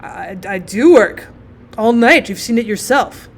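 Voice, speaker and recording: A woman's voice: a little high, animated, husky, heavily acted. A woman voicing a miserable, flustered thirteen-year-old schoolboy who stammers through his words in a story. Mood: flustered, pleading. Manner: stammering, halting.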